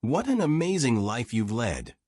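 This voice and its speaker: A man's voice: mid-range voice, big pitch swings, moderate pace, a little mellow, no echo, studio quality. A man voicing an eager, curious young woman. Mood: admiring, hopeful. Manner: polite, inquisitive. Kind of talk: audiobook character dialogue.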